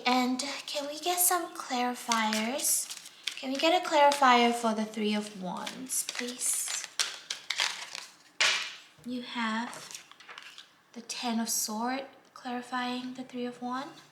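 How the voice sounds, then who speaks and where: A woman from Singapore reads tarot cards in a slow, gentle, casual way, full of "um" and "like". Her voice sits a little high. She is recorded in a roomy, echoey space with a faint hiss behind her. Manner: gentle, unhurried, many fillers, drops to a near whisper while drawing cards. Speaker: tarot reader. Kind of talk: casual pick-a-card tarot reading. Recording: echoey room, faint background noise.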